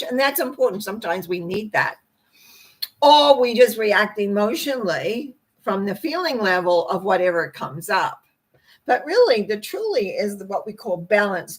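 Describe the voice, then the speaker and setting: An older woman with a hoarse, croaky voice, giving an informal spiritual talk to camera in a rambling, folksy way with asides. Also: medium pitch, poor audio. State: hoarse after a treatment, clearing throat. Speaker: host, tarot reader and spiritual teacher.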